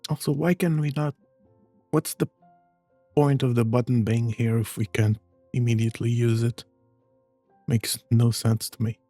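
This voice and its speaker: A man giving casual, low-key commentary while playing a video game, with a slightly husky voice. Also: steady tone, normal speed, pauses often, warm tone, dry recording, faint music behind. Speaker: gaming streamer.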